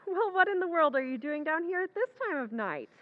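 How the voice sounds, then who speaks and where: This is an affectionate young wife who coaxes her husband sweetly, as a woman plays her. Her voice is high and lively, and it comes across thin and phone-like. She speaks in the manner of a classic radio play. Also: brisk. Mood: coaxing. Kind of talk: old-time radio drama recreation. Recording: tinny phone-like sound.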